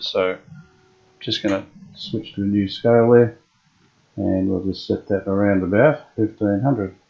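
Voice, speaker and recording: A man restoring an old radio, thinking aloud as he talks through each step. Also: on the low side. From Australia. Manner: relaxed, thinking aloud with frequent fillers. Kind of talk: hands-on workshop narration. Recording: dull lo-fi workshop audio.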